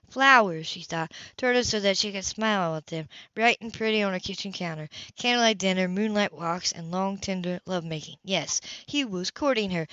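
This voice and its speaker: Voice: medium pitch, bright, forceful tone, no room sound. An amateur reader reading a romance novel aloud, now and then stumbling and repeating words. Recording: dull lo-fi home recording.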